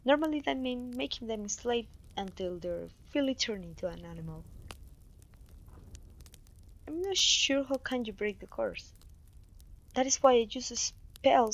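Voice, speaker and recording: A clumsy, kindly witch played by a woman mentors her apprentice in an ASMR fantasy roleplay. Her voice is on the high side and recorded close and dry, with polite, faintly old-fashioned phrasing and an apologetic, gentle manner. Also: no room sound, poor audio.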